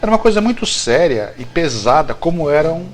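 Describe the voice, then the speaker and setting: A man's voice: on the high side, very animated, fairly quick, a crowd in the background. A Brazilian man in a casual interview, telling stories as a guest, often repeating phrases and checking in with the listener. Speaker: guest writer telling behind-the-scenes history of a TV series. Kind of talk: animated casual interview storytelling.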